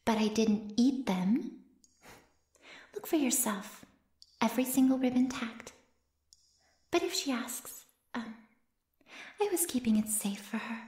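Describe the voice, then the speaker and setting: A woman's voice: fairly high pitch, a little slow, pauses often, mellow, close to an everyday voice. Woman playing a sly, echoing flesh-eating monster. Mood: grudging, defensive. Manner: haughty, mocking, mock-innocent, savoring words. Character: sly, gloating flesh-eating female monster in youthful skin. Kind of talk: ASMR roleplay audio drama.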